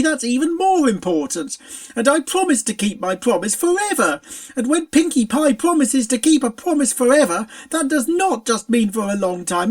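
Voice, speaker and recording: A narrator voicing a stubborn, excitable female pony in a storybook, harsh and forcefully insistent. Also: high-pitched, pitched above the performer's own voice. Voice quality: harsh, raspy.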